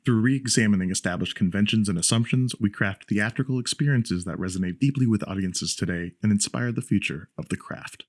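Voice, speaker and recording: A man's voice: on the low side, brisk, hardly any pauses, no room sound, clean studio sound. A man reading a scripted introduction as announcer for a theater company podcast. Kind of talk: scripted promotional narration.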